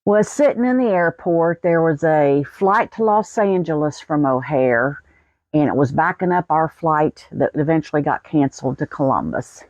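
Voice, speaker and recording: A woman's voice: low-pitched, lively intonation, normal speed, darkish tone, no room sound, lo-fi sound. An American woman in her sixties telling a personal story in a folksy, plain-spoken way. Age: 60s. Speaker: vlogger.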